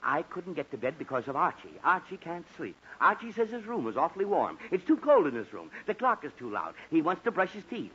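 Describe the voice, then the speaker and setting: Exasperated, blustering radio dad. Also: male voice, on the high side, huge pitch swings, fairly quick, few pauses, fairly bright tone, husky, heavily acted. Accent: American. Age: middle-aged. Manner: emphatic, fussy, scolding. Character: exasperated, blustering sleepless middle-aged family father. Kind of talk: 1940s radio comedy acting. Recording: scratchy, muffled 1940s radio recording.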